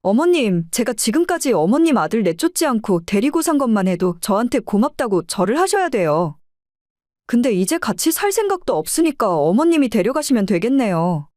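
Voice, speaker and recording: A woman's voice: fairly high pitch, big pitch swings, moderate pace, a little mellow, no echo, clean studio sound. A woman playing a defiant, hard-nosed middle-aged wife in an audio drama, blunt and confrontational.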